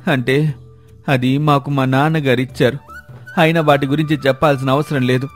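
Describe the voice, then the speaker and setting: A man's voice: medium pitch, little pitch movement, moderate pace, soft background music, lightly acted. A man playing an anxious, pleading villager with a plaintive manner in a moral story. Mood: defensive, nervous. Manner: plaintive, faltering when cornered. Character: anxious, pleading villager lying about his stolen walking stick. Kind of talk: acted dialogue in a moral story.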